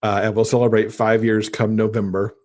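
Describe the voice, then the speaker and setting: A man's voice: fairly low pitch, little pitch movement, brisk, darkish tone. A man talking casually as a guest in an interview, chatty and enthusiastic about books. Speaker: podcast guest; podcast host and author.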